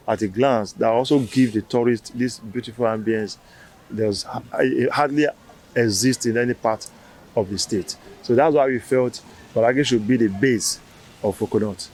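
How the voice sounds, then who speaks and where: A man's voice: mid-range voice, a little mellow, rough recording. A man with a Nigerian accent, a festival founder giving an interview answer, with many fillers and restarts.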